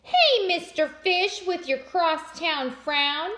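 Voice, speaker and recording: A woman reading aloud, voicing a cheerful female clam who brightly encourages her friend. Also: very high-pitched, animated, takes it slowly, voice pushed up, full character voice. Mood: encouraging. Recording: echoey room.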